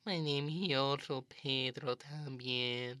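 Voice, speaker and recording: A woman voicing a solemn male prisoner, deadpan and stilted, in radio comedy. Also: a bass voice, slow pace, no echo, studio quality, voice pushed down, heavily acted. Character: solemn, slow-witted Mexican man named Pedro, a prisoner. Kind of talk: improvised radio drama comedy.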